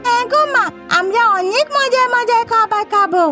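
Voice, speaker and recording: A woman voicing a sweet, eager young village girl, a comforting daughter in an animated story. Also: very high-pitched, normal speed, soft background music. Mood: cheerful. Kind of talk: animated moral story voice acting.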